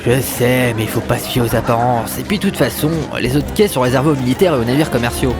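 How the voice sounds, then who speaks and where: A man's voice: medium pitch, quick pace, close to an everyday voice. A man playing an earnest, easily flustered ex-soldier hero in a comic audio drama, explaining things with slight exasperation. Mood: reassuring. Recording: loud music behind.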